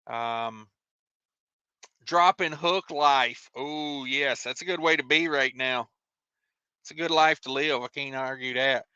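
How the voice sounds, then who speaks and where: A man's voice: mid-range voice, slow pace, bright, forceful tone, smooth, no echo, dull audio. An American man hosting a casual livestream, reading out viewer comments and replying in a folksy, rambling, colloquial way. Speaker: livestream host, truck driver.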